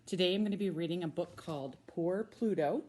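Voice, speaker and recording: A woman with an American accent, a teacher reading a storybook aloud to children with expressive, drawn-out emphasis on key words. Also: fairly low pitch. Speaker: middle school science teacher reading a children's book.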